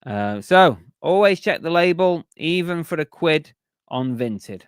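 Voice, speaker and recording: A man's voice: on the high side, animated, unhurried, clean tone, no echo, clean studio sound. Chatty man, voice going. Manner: chatty, rambling, with many fillers and restarts. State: losing his voice. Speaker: live stream host. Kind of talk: casual live stream chat.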